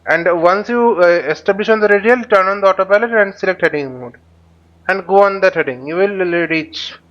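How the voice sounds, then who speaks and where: A man giving an informal flight simulator tutorial, explaining haltingly as he thinks aloud. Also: sits high, lo-fi sound. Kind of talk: informal tutorial commentary while flying a simulator.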